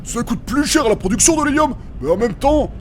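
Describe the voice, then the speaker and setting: A man's voice: extremely high pitch, lively intonation, fairly quick, slight background noise. A man playing a blunt, sardonic adventurer with slangy, sarcastic talk in a French fantasy comedy audio drama. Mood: explaining. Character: gruff, sardonic, blunt male adventurer with technical know-how.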